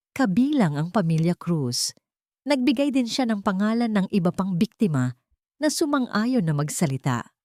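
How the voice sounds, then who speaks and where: A woman's voice: fairly low pitch, dark tone, dry recording, clean studio sound. A woman reading a scripted dramatic romance story as its narrator.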